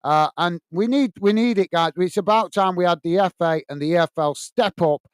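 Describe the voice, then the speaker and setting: Blunt, passionate Lancashire-accented football host. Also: male voice, high-pitched, normal speed, clear, resonant tone, dry recording, studio quality. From England. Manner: passionate, blunt, addresses viewers by name. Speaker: football channel host reading live chat. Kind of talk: casual live-stream chat. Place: small home studio.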